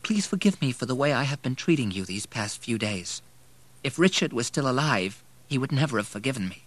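A man voicing a distraught, ailing mother in an audiobook, shaky, breathy and sobbing. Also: mid-range voice, expressive, brisk, pitched above the performer's own voice, natural voice. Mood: tearful, shaky. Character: distraught ailing mother, tearful, weak, desperate. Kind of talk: audiobook character voice.

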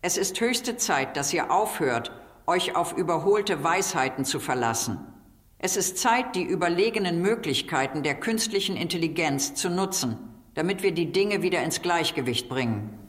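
A woman's voice: fairly low pitch, animated, moderate pace. A woman delivering a scripted, declamatory monologue in a solemn, exhorting manner, with long reverb.

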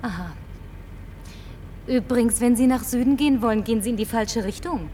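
An actress playing a brash, lonely survivor in a German science-fiction radio play, speaking in a brisk, sardonic, bossy way. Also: female voice, on the high side, warm tone, distant mic, a little hiss or hum, close to an everyday voice. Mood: dry, sardonic. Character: brash, lonely woman, last woman in deserted New York.

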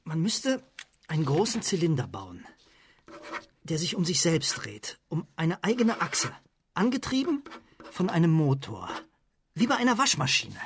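An excitable, inventive scientist in a radio drama, his voice pitched on the high side. He is sceptical and thinks out loud, in hesitant bursts. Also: measured pace, vocal fry, pauses often, slight background noise, heavily acted. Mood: tentative, brainstorming. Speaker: actor in radio play. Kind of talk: German radio play acting.

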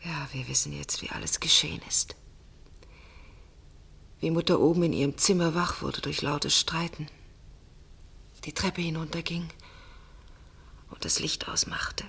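An actress playing a shaken, grieving daughter in a German radio drama, half-whispering in a breathy voice. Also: female voice, sits low, fairly even intonation, a little slow, pauses often, a bit bright, full character voice. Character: grief-stricken daughter, subdued and shaken.

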